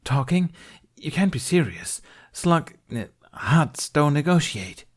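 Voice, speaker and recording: A man's voice: on the high side, very animated, takes it slowly, pitched above the performer's own voice, heavily acted. A man voicing a grumbling, sarcastic prisoner in an audiobook, with an incredulous manner. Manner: incredulous, sardonic.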